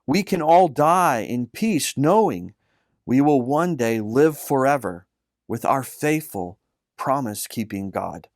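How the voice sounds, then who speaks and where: A man plays an old, devout uncle in a storybook, tender and hopeful. He speaks slowly and earnestly, choosing each phrase with care. The sound is dry and close. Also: mid-range voice, animated, a little mellow, dry recording. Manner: earnest, deliberate. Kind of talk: storybook dialogue.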